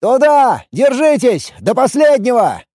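A man's voice: high voice, big pitch swings, normal speed, mellow, dry recording, studio quality, voice pushed up. A man playing a bold, brash fighter and leader with a commanding, urgent delivery in an audiobook. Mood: shouting, urgent.

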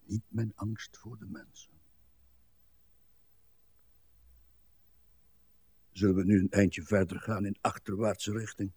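Husky, morbid old blind man. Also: fairly low pitch, level tone, normal speed, darkish tone. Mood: gloomy musing. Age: older. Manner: morbid, querulous, long-winded grumbling with musing asides. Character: ailing, blind old husband, morbid, crotchety and rambling. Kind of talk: literary radio drama acting.

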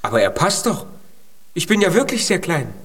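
A man's voice: sits high, big pitch swings, normal speed, breathy edge, exaggerated voice. An actor in a children's radio play, playing a tiny male giant who is naive, earnest and full of hopeful pluck.

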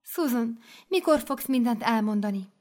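A doting, excitable mother superior with a bright, raised voice that swoops high with delight, as a woman reading the story puts her on. She gushes and commands in the same breath, all effusive praise. It sounds like a storybook read aloud. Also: natural voice. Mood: gushing.

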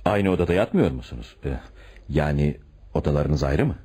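A hard-nosed police commissioner in a radio play. His voice is deep and husky, with animated rises and falls. He is curt, sarcastic and suspicious as he presses his questions. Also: a little fast, heavily acted. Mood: curt, needling. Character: blunt, tactless, suspicious male police commissioner. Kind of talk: radio theatre acting.

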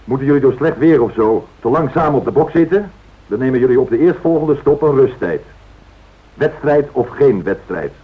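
An actor playing a blunt, bossy airline director in a radio play, curt and scolding. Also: male voice, mid-range voice, lively intonation, normal speed, slightly rough. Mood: stern, businesslike. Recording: tinny band-limited old radio recording, hiss.

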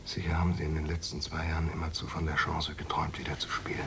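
An actor in a German radio drama playing a male Soviet official who cajoles and pressures in a hushed, persuasive manner. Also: extremely low pitch, monotone, fast, gravelly, heavy background noise. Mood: hushed, coaxing. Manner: hushed, persuasive, veiled threats.

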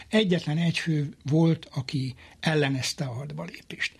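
Husky, creaky-voiced man explaining history. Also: fairly high pitch. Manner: halting, with repeated words and pauses. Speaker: military historian, interview guest.

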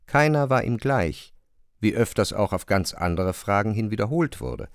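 A man narrating a literary radio drama in a measured, precise manner. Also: on the low side, lively intonation, clean tone, no room sound, clean studio sound.

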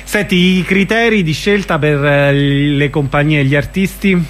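Radio host guy, kinda high voice, short questions. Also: normal speed, fairly bright tone. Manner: brief prompts and backchannel. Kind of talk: interviewing. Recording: radio broadcast.

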